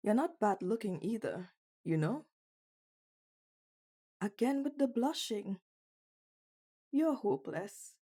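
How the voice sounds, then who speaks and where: Brief: female performer as teasing young bunny girl for intimate ASMR roleplay; unhurried, warm, relaxed tone, blunt and playful. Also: mid-range voice. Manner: playful, blunt, questioning, intimate one-sided conversation. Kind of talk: ASMR roleplay audio drama.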